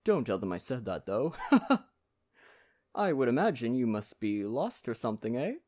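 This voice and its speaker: Acted male lumberjack, folksy, courteous, easygoing, very lively intonation, mid pitch, ASMR roleplay. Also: measured pace. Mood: welcoming, musing. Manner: chatty, folksy, gently courteous. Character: friendly, poetic male Canadian lumberjack, burly and easygoing. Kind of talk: ASMR roleplay addressed to the listener.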